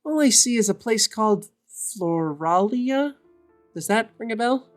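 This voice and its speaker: A woman's voice: fairly high pitch, animated, measured pace, soft background music. A woman playing a wary, caring and easily exasperated male adventurer in an audio drama. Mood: doubtful, wry.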